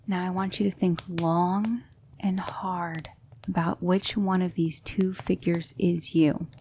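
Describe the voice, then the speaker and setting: A woman playing a gentle, patient demonic magic mentor in an ASMR roleplay, speaking in measured, hushed instruction that slips into whispers. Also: lowish voice, level tone, slight background noise. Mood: hushed, coaxing. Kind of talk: ASMR roleplay, soft speech slipping into whispers.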